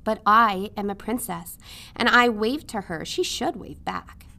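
A woman's voice: medium pitch, a little slow, gravelly, bright, forceful tone. A woman voicing a merry, entitled young princess in a storybook, calling out and speaking petulantly. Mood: indignant, petulant.

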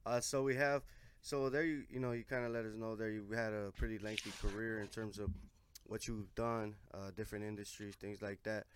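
A man in his twenties hosting a casual interview podcast, relaxed and full of "you know" fillers. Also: on the low side, fairly quick, a little hiss or hum. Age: 20s. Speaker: podcast host.

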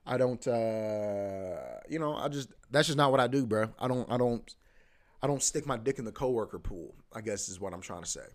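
Young male podcast host, rambling and profane, filler-heavy, mid-pitched. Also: lively intonation, moderate pace. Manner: loose and profane, heavy filler like "bro" and "you know what I mean"; repeats himself, often restarts. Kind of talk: casual rambling monologue.

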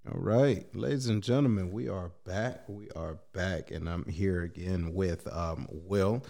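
A man hosting a casual podcast interview, energetic, greeting live listeners by name. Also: sits low, measured pace, gravelly. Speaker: podcast host.

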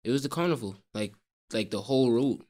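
A young man from Brooklyn, a DJ answering interview questions in a halting way, full of fillers. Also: mid-range voice, animated, a little mellow. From Brooklyn, New York. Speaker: guest, DJ and rapper.

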